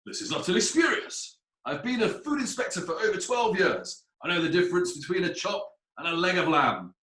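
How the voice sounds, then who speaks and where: An actor playing an indignant, pompous food inspector giving evidence in a period courtroom play, with emphatic delivery. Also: male voice, high voice, sing-song, fairly quick, a bit bright, reverberant. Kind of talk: theatrical courtroom play acting.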